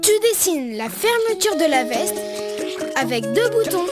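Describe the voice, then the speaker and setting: Childlike cartoon drawing teacher, very high pitch, animated intonation, reassuring, loud music underneath. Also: unhurried, heavily acted. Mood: instructive, upbeat. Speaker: drawing tutorial host. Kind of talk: preschool cartoon dialogue and tutorial.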